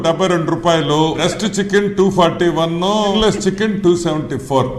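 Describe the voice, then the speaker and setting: A man's voice: fairly high pitch, fast talker, slight background noise. A man giving mocking commentary to listeners in a spoken, public style. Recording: very echoey, faint music behind.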